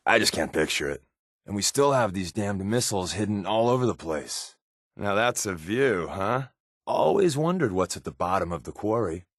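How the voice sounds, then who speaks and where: A man's voice: low voice, no echo. A man voicing a sardonic secret agent in a post-apocalyptic video game, with dry, quippy, playfully sarcastic delivery. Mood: dry, wry. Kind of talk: in-game companion barks and commentary.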